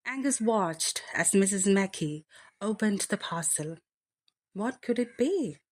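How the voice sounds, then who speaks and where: A woman reading a children's bedtime story aloud with deliberate, expressive phrasing. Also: medium pitch.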